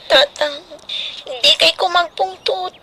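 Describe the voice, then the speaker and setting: An actress playing a meek, pleading young daughter in a radio drama, with a plaintive delivery. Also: female voice, extremely high pitch, fairly even intonation, slow pace, crowd noise, heavily acted. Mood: tearful pleading. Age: young adult.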